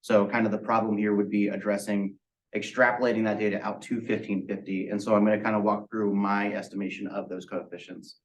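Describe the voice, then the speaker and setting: Low-pitched man presenting, distant from the mic. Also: level tone, a little fast, dull audio. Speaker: student presenter. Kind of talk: technical project presentation. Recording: distant microphone pickup.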